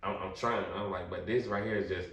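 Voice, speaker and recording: A young man spins a story in loose, slangy talk. He jumps into other people's voices when he quotes them. His voice sits a little low and comes from a distance in a roomy, echoing space. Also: fairly quick. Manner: animated, slangy, acts out quotes in a high voice. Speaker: podcast guest. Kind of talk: casual storytelling. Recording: roomy echo, distant mic.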